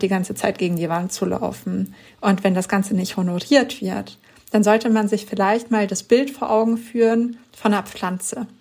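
A woman's voice: medium pitch, darkish tone. A woman author being interviewed on a podcast, explaining her views in an earnest, emphatic way. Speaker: author guest.

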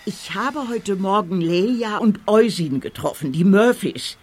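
Worried middle-aged aunt, husky voice, hesitant, imploring, audio drama. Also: female voice, medium pitch, animated, a little slow, darkish tone. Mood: worried, chatty. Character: worried, chatty middle-aged aunt asking nephew's help. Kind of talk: German audio drama acting.

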